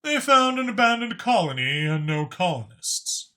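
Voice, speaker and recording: A man's voice: sits high, big pitch swings, normal speed, sharp tone, voice pushed up, heavily acted. A man voicing a talkative alien dragon informant who explains things knowingly and with emphasis in an audiobook story. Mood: solemn, explanatory.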